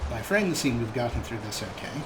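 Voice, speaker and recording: A man hosts a let's play with casual, chatty commentary. His delivery is wry and understated, at a middling pitch. There is clear noise and game ambience behind his voice. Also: animated, fast.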